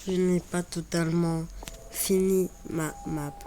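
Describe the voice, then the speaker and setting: A woman with a cold and a stuffy voice, chatting slowly through a building game, often repeating herself. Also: fairly low pitch, steady tone, mellow, a little hiss or hum. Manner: slow, repeats words while building. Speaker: Minecraft YouTuber. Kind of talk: casual gameplay commentary.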